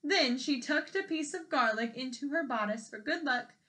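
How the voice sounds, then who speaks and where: Female storyteller for young children: crisp bright tone, higher pitch, expressive and explanatory delivery. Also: normal speed. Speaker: storyteller reading a picture book aloud. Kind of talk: expressive children's read-aloud with questions to listeners.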